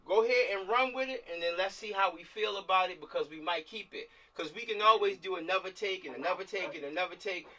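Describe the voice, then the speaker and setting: A man's voice: high-pitched, fast talker, hardly any pauses, pushed and bright. A man leading a table read over a video call, chatting casually, repeating himself and checking in often. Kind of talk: casual group discussion. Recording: distant mic on a video call.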